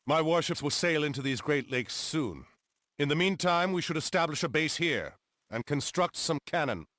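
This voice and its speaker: Earnest army colonel, highish voice that moves around a lot, game cutscene. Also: male voice, animated, moderate pace, fairly bright tone, dry recording, studio quality. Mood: businesslike. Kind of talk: dramatic video game cutscene acting. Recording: music behind the voice.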